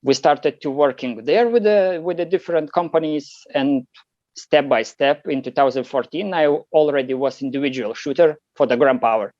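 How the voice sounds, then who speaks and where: A man's voice: a little high, darkish tone, no echo. Lithuanian man, non-native English, hesitant answers, dull dry sound. Podcast guest. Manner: halting, many fillers and restarts. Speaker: competitive shooter guest.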